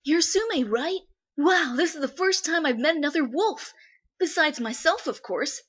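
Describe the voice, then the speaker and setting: A woman voicing a friendly, wistful young male wolf for an animation, earnest and halting when upset. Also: sits high, animated, fairly bright tone. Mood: eager, friendly.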